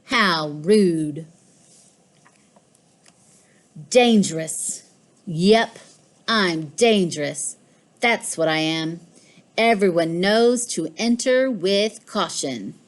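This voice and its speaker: Woman reading as a smug, slow-talking storybook cat. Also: on the low side, lively intonation. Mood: smug, playful. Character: smug, mischievous pet house cat who boasts. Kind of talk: storybook read-aloud.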